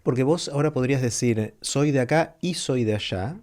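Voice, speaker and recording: A man's voice: mid-range voice, normal speed, darkish tone. A man hosting an interview, asking curious, attentive questions. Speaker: interview host. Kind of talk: conversational interview.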